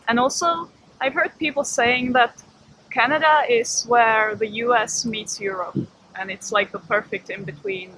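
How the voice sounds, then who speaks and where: A woman's voice: fairly high pitch, slight background noise, low-quality recording. A woman, chatting casually and cheerfully on camera outdoors. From Czech Republic. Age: 20s. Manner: chatty and lively, laughs easily. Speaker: vlogger. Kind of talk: casual chat. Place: outdoors with a city behind.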